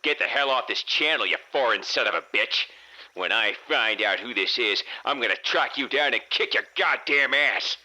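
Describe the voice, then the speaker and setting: A man's voice: fairly low pitch, moderate pace, pushed and bright, pitched above the performer's own voice, rough recording. A man acts out an indignant old American radio crank from an audiobook. The voice is nasal and quavering, lifted above the reader's own, and swears with bigoted outrage. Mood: furious. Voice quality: nasal, trembling.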